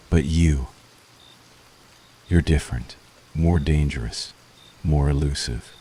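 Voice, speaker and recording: A man playing a brooding, conflicted witch hunter in an intense, deliberate ASMR roleplay monologue. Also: extremely low pitch, mellow. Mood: menacing.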